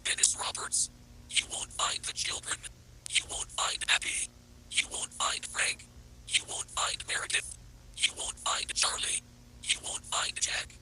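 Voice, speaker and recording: A menacing analog horror creature, a godlike cat machine mind. It hisses in a very sharp, piercing whisper with no voiced tone, grandiose and fond of repeating itself. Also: male voice, measured pace, pauses often, low-quality recording. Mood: menacing, taunting. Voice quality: breathy, unvoiced whisper. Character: sinister godlike cat entity, a conscious rogue artificial intelligence.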